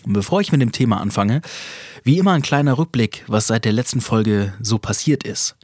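Chatty podcast host, stuffy from a cold, husky and dry, laughs easily. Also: male voice, mid-range voice, expressive, a little fast, a little mellow, no room sound. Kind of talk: casual solo podcast talk. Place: small home studio.

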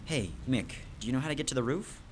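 A man's voice: medium pitch, animated, quick pace, noisy background, lightly acted. A young man acting an earnest, level-headed teenage schoolboy survivor in a radio drama. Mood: reassuring.

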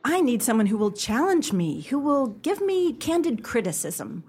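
A woman's voice: a little high, sing-song, moderate pace, darkish tone, husky, exaggerated voice. An actress playing a blunt, fiery young woman in a radio play, forthright and exasperated. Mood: exasperated, insistent.

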